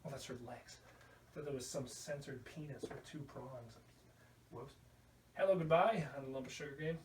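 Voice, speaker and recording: A man's voice: medium pitch, moderate pace. A man hosting a casual video podcast, rambling through a show-and-tell with an irreverent, crude sense of humor. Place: indoor room at home. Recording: roomy, distant mic, faint background noise.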